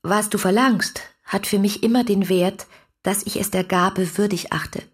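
An actress playing a devoted, yearning young woman in a literary radio drama, speaking love letters in a breathy, confiding, often near-whispered voice. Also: mid-range voice, steady tone, unhurried. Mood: devoted, earnest. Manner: ardent, poetic, confiding.

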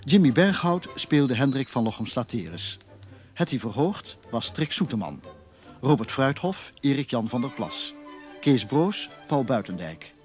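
Male radio announcer, lively intonation. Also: medium pitch, unhurried, soft background music. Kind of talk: scripted broadcast credits.

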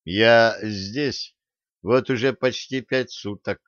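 A man's voice: medium pitch, slow pace, fairly bright tone, no echo, highs cut off. A man voicing a wry, tired man nearing forty in an audiobook, slyly mock-polite as he banters. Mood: weary, joking.

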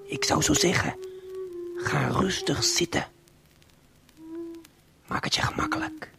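A man narrating an audio play theatrically, addressing the listener directly, moving between intimate hush and grand showman delivery. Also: sits high, huge pitch swings, slow, vocal fry. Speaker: narrator and host of an audio story. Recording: 1970s vinyl audio play with music.

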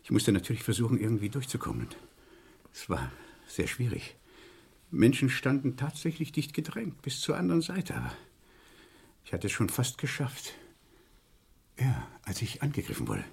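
An actor playing a meek, nervous clerk in a German radio crime play, speaking haltingly and apologetically with a breathy, husky voice. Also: male voice, medium pitch, huge pitch swings, a little mellow, heavily acted. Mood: nervous, hesitant. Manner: hesitant, halting, politely apologetic. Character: meek, nervous male clerk giving a police statement.

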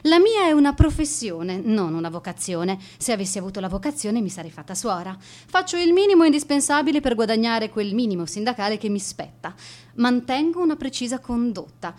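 A woman's voice: a little high, very animated, fairly quick, darkish tone. An actress in a radio monologue playing a strict, spiteful schoolteacher, sarcastic and given to answering her own questions. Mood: haughty, scornful. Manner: sarcastic, asking and answering herself. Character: bitter, spiteful, strict female schoolteacher, obsessed and vindictive. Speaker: radio drama actor.

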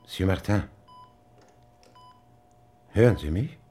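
An actor playing a grave doctor in a radio drama, speaking deliberately with pauses between phrases. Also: male voice, low voice, lively intonation, mellow. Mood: grave, careful. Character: grave male doctor gently breaking terrible news.

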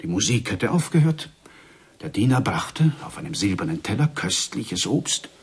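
A village storyteller in a radio drama, spreading marvels in a very rough, husky voice. The pitch moves freely as he builds suspense and lingers on each strange detail. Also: very animated, normal speed, exaggerated voice. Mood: hushed, wondering. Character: excitable village storyteller passing on wondrous rumours. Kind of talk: German radio drama acting.

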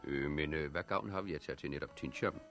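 Very deep bass voice, sardonic, patronising, unruffled, 1960s radio drama. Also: male voice, a bit bright, soft background music. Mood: agreeable.

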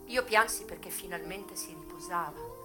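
A woman's voice: lowish voice, close to an everyday voice. An actress playing an American brothel madam who recalls her hard youth in a bitter, frank, emotive theatrical monologue for radio. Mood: grieving, bitter. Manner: emotive, deliberate phrasing with sharp swings from hushed to loud. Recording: voice over music. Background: music.

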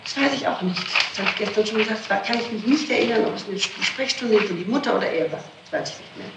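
A woman's voice: mid-range voice, lively intonation, fast talker, vocal fry. Woman, questioned suspect, defensive, emphatic answers, echoey room, noisy archival tape. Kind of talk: answering a formal interrogation.

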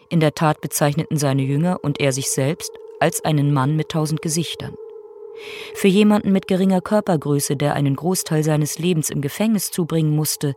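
A man delivers sober scripted narration for a radio documentary drama. His voice sits somewhat high, and the delivery is measured and factual, with faint noise in the background. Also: a little mellow. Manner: measured, matter-of-fact.

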